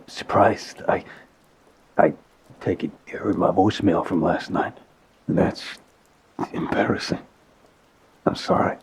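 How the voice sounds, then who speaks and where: Male actor as lovesick mafia boss, deep voice, hesitant, remorseful, sighing, sincere, intimate audio drama, light rain noise. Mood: flustered, apologetic. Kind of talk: intimate audio roleplay, boyfriend ASMR.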